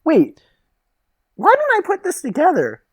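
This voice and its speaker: Brazen, airy female neighbour played by a man, improvised comedy, highly animated pitch, blunt delivery. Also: a little slow, slight background noise, heavily acted. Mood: airy, curious. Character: breezy, brazen female building resident, ditzy and unashamed. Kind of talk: improvised comedy table read.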